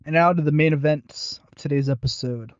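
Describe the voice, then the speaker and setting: A man's voice: fairly high pitch, a little fast, smooth, no echo, dull audio. A man co-hosting a podcast, talking casually and somewhat hesitantly as he reviews audio dramas. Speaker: podcast co-host.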